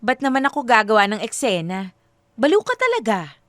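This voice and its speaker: A woman's voice: a little high, wildly swooping pitch, fairly quick, fairly bright tone, dry recording, studio quality. A woman playing a nervous, bashful young woman in a radio drama, flustered and hesitant as she hides her feelings. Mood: bashful, teasing. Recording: music bed under the voice.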